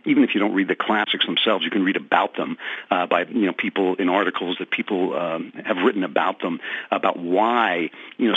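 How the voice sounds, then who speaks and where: A man's voice: low-pitched, no room sound. A man answering interview questions earnestly, with many fillers and restarts. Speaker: guest commentator. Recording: thin phone-like remote line.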